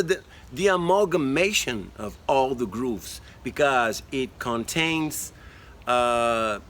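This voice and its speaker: Slow-spoken man teaching percussion. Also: a little high, a bit bright. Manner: slow, explanatory, counting beats aloud. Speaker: music teacher. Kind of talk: demonstrating percussion outdoors. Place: outdoors by a tree.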